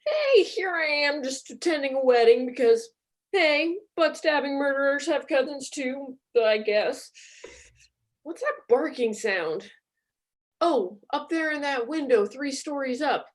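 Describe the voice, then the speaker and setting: A woman's voice: high voice, expressive, unhurried. A woman playing a nonchalant murderer who muses aloud in a comedy radio play. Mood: mock-casual. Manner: chatty, mock-casual musing. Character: nonchalant murderer spotting a barking dog.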